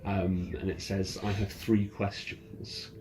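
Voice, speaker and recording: A man narrating a tabletop roleplaying game as game master, setting scenes in a halting, descriptive way. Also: sits low, flat delivery, darkish tone. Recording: ambient music behind the voice. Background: ambient background music.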